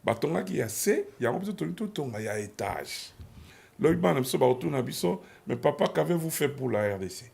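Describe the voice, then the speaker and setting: A man's voice: mid-range voice, expressive, moderate pace, creaky, darkish tone. A man hosting a TV talk show, chiming in often with short agreements and closing the segment. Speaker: TV host.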